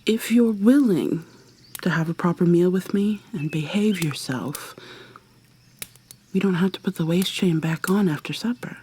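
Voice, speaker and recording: A woman playing a tender, possessive kidnapper in an ASMR roleplay, speaking softly and haltingly, often slipping into a breathy whisper as she coaxes. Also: fairly low pitch. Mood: coaxing, tender. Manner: coaxing, gentle, halting. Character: tender, possessive female kidnapper doting on her captive lover.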